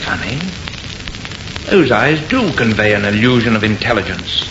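An actor playing a confident, scoffing artist in an old-time radio horror play, with a brisk, assured, theatrical manner. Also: male voice, lowish voice, animated, over music. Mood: bargaining. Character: confident, scoffing male artist, enthusiastic and unafraid. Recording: muffled, echoey old radio recording, heavy noise.

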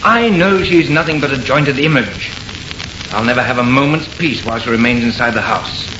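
A male actor as an exasperated painter in a vintage radio horror play. He is impatient and theatrical, with lively swings of pitch. The recording is echoey, dull and noisy. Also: moderate pace, lightly acted. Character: exasperated male painter and husband, short-tempered. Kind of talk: old-time radio horror acting.

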